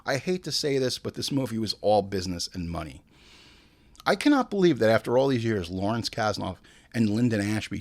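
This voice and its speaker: A man's voice: on the low side, gravelly. A man hosting a podcast, giving opinionated, casual film commentary in an exclamatory, profane manner. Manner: profane, exclamatory, acts out imagined dialogue. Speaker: podcast host.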